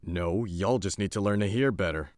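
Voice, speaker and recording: A man voicing Clay, a gruff young fighter who talks in a blunt, bossy, scolding way. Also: low-pitched, moderate pace, darkish tone, no room sound, studio quality, lightly acted.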